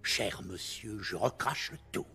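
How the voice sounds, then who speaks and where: Haughty animated-film food critic: cold, disdainful, theatrical, very varied pitch over a clear music bed. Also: male voice. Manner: pompous, disdainful, deliberate delivery.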